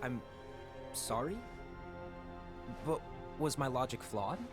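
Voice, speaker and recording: A man acting an earnest, polite, quick-witted young apprentice in a video game cutscene. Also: mid-range voice, music in the background, natural voice. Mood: earnest, reasoning.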